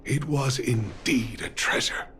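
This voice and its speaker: An actor playing a weary, mild-mannered older mine boss in a video game, hesitant and resigned. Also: male voice, medium pitch, slow, fairly bright tone, slight background noise. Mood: wistful.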